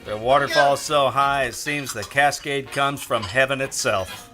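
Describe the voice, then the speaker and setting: An actor playing a wisecracking, world-weary private detective in a radio drama, with a wry, clipped, sardonic delivery. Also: male voice, mid-range voice, measured pace, over music, close to an everyday voice. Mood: playful, teasing.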